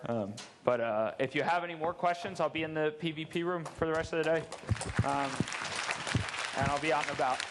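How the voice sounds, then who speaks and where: A man's voice: on the high side, brisk, crowd noise, background noise. A man in his thirties fielding audience questions at a convention talk on a headset mic, candid and joking, often restarting his words. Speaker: security presenter at a game convention. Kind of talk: conference Q&A answers. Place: large dark convention stage.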